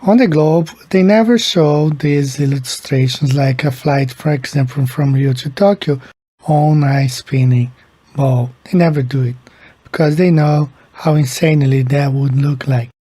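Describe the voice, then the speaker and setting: Slow, husky-voiced Brazilian-born man. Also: mid-range voice, mellow, dry recording. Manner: slow, halting, repeats words. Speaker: video creator. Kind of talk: casual explainer monologue.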